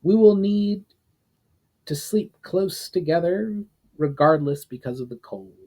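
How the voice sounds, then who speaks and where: Man playing a high-pitched, defiant army officer. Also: expressive, unhurried, slightly rough, heavily acted. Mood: firm. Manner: forceful, argumentative. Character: firm, argumentative military officer, respectful but defiant. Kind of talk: dramatic fiction reading.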